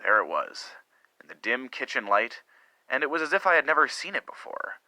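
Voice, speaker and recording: A man playing a guilt-ridden, lovesick middle-aged husband in an earnest, theatrical confession with dramatic pauses, like a staged reading of a story. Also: mid-range voice, sing-song, pushed and bright, dry recording, pitched above the performer's own voice, rough recording. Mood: brooding, guilty. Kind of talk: melodramatic dramatic reading of a first-person confession.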